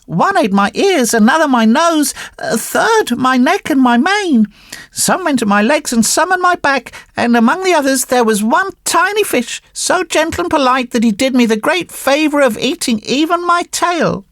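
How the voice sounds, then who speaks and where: A sly marionette boy with a high, gravelly, mocking voice that bounces up and down as he gloats. The storybook puppet sounds impish and boastful. It is recorded close and dry. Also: expressive, moderate pace, fairly bright tone, no room sound, exaggerated voice. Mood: cheeky. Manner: playful, teasing storytelling. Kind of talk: audiobook reading.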